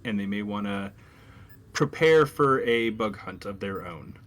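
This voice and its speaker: A man's voice: lowish voice, animated, unhurried. A man chatting casually as a tabletop game player, describing what his character does.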